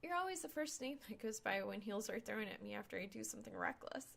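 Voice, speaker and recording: A woman's voice: mid-range voice, animated, quick pace, gravelly, fairly bright tone, voice pushed up, full character voice. A woman voicing a teasing, fearless young woman gamer with a girlish voice in an audiobook. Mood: soft, sincere. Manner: casual teasing banter. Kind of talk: audiobook character dialogue.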